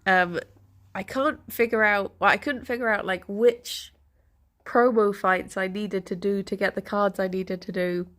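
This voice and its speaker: A young woman streamer with a congested, bunged-up voice, chatting softly with viewers and laughing often. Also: medium pitch, steady tone, unhurried. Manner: soft, chatty, laughs often, greets chat by name. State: congested, stuffy nose. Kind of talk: casual morning chat with viewers.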